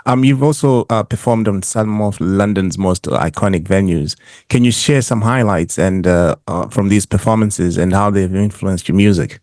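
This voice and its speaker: A man hosting a podcast interview over a video call, asking questions in a halting way with many stops and restarts. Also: fairly low pitch, darkish tone, no echo, studio quality. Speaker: podcast host. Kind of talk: casual interview.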